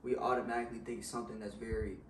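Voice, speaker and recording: Young man, slightly raspy, candid casual chat on a couch, distant camera mic. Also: lowish voice, flat delivery, rapid-fire. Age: young adult. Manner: frank, hedging with "you know what I'm saying". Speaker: guest in a discussion. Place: living room, on a couch. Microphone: far camera. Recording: roomy sound from far off, faint hiss.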